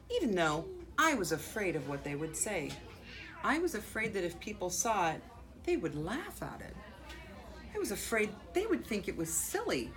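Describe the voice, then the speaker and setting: An American woman, a school principal, reading a children's picture book aloud expressively, with dramatic pauses and drawn-out words. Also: fairly low pitch. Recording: faint background noise.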